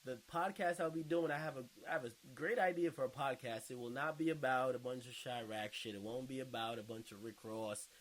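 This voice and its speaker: A man's voice: mid-range voice, steady tone, fairly quick, few pauses, rough recording. A man hosting a casual online video, reading out and reacting to viewer comments in an informal, slangy way with some swearing. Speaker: online video host and podcaster.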